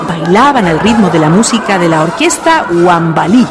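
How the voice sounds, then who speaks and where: A woman narrating a historical radio drama in a measured storytelling manner. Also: fairly low pitch, expressive. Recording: radio narration over music. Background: background music.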